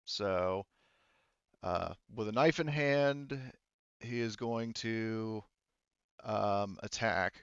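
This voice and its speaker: Low, smooth-voiced man running a tabletop game. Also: a bit bright, dry recording, highs cut off. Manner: halting, thinking aloud while checking rules and setup. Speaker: game master.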